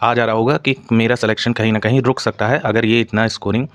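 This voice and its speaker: A man's voice: mid-range voice, steady tone, fast. A man explaining exam information to students as a channel host, in an explanatory way with repeated stock phrases.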